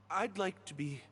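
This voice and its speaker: An earnest young man in a video game, a prisoner in love. He speaks high and with lively swings, eager and sincere, over background music. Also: vocal fry, a little hiss or hum, heavily acted. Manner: eager, sincere, slightly hesitant.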